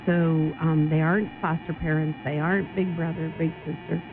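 A woman's voice: sits low, little pitch movement, normal speed. A woman guest on a radio interview, explaining her volunteer program earnestly with many ums. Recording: tinny phone-like broadcast with music.

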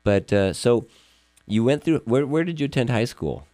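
A man's voice: mid-range voice, expressive, normal speed, warm tone, dry recording, studio quality. A man hosting an interview with his employee, rambling earnestly and repeating words as he talks. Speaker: host, firm owner interviewing an employee. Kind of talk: casual interview. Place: Yuma, Arizona.

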